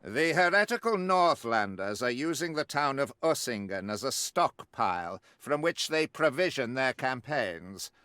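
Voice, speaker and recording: An actor playing a zealous, righteous witch-hunting warrior priest in a video game, pompous and grandiloquent, pausing deliberately for effect. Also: mid-range voice, sing-song, sharp tone, clear, resonant tone, dry recording, studio quality. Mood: scornful, resolute. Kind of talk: theatrical video game mission briefing.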